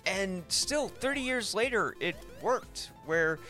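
A man's voice: sits high, animated, takes it slowly, sharp tone, soft background music. A man hosting a podcast, talking casually about films in a rambling, thinking-aloud way. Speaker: podcast host. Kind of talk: casual film discussion.

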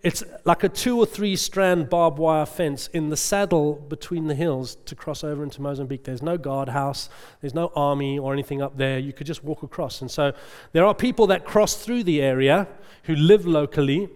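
A man's voice: fairly high pitch, normal speed, darkish tone, clean tone. A middle-aged male preacher giving a conversational sermon, chatty and often checking in with the congregation. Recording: long reverb of a large hall.

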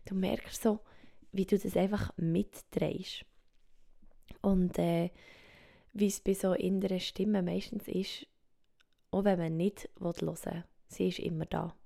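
A Swiss woman speaking German with a Swiss German accent, hosting a reflective solo podcast, thinking aloud softly and often dropping to a whisper. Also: on the low side, creaky. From Switzerland. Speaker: podcast host. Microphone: home microphone.

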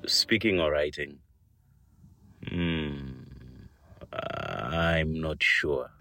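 A man playing a hesitant, dreamy corridor dweller in a radio play, musing slowly and poetically. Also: very deep voice, vocal fry, sharp tone, no room sound, studio quality, exaggerated voice. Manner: slow, deliberate, musing.

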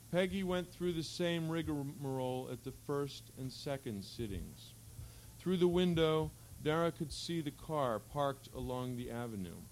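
A man reading literary fiction aloud at a live event, with a measured, articulate storytelling delivery. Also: mid-range voice, darkish tone, poor audio. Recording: faint hum and background noise.

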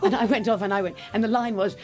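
A woman's voice: medium pitch, fairly even intonation, fast talker, warm tone, faint music behind. A woman interviewee telling stage anecdotes in an amused, theatrical manner.

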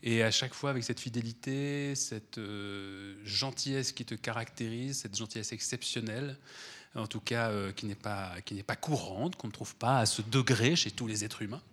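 Man, guest author, has a cold, rambling and animated, lots of fillers. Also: mid-range voice, moderate pace. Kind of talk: relaxed conversational talk at a public event. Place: event hall before an audience.

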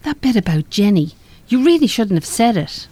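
A woman's voice: mid-range voice, big pitch swings, normal speed, mellow. An actress with an Irish accent playing a sensible, articulate woman in a live radio play, speaking in a dry, pointed, sarcastic way. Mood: reproving.

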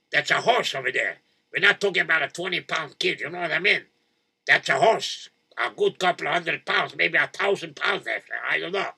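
A man voicing a gruff, blunt older man who scolds, with Yiddish words in his talk. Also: on the high side, bright, forceful tone, distant mic, exaggerated voice.

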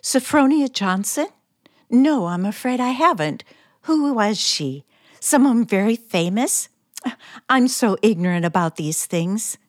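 A woman playing a shy, naive, romantic forty-year-old spinster in a radio play, excitable and easily flustered. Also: on the high side, unhurried, no echo, clean studio sound. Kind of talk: radio play acting, diary narration and dialogue.

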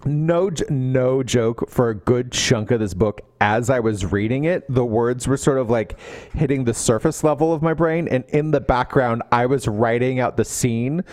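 A man's voice: medium pitch, normal speed, a little mellow, dry recording. A man co-hosting a podcast, giving a casual comedic book recap, sarcastic and mockingly acting out the characters' lines. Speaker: podcast co-host.

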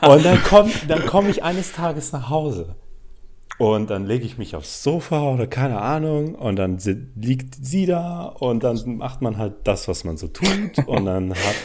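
A man's voice: mid-range voice, very animated, unhurried, crowd noise. Young man ranting casually on a podcast. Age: 20s. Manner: colloquial, sweary, ranting, acts out quotes. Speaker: podcast co-host. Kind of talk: casual podcast banter and storytelling.

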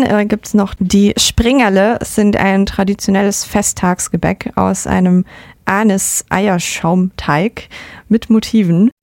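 A woman's voice: mid-range voice, creaky, darkish tone, no echo, studio quality. A young woman whose first language is Russian, co-hosting a casual podcast chat and talking with many hesitations. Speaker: podcast co-host.

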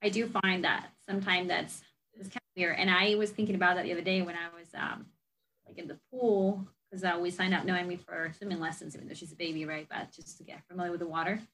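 A woman's voice: lowish voice, flat delivery, fast talker, fairly bright tone, poor audio. Chatty young woman hosting a casual discussion. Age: young adult. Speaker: host and researcher. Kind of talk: casual reflective conversation.

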